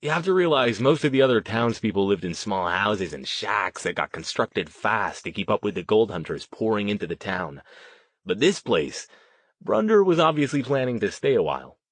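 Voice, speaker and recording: A man's voice: on the low side, sing-song, moderate pace, no echo. A man voicing a vain, hot-tempered ghost-show host with a projected, theatrical delivery, in an audiobook. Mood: theatrical, calling out.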